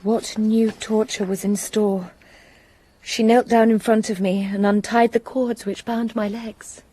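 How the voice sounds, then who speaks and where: An actress playing a young woman recounting her ordeal in a radio drama, with a breathy, shaken voice. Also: medium pitch, little pitch movement, unhurried, mellow, rough recording.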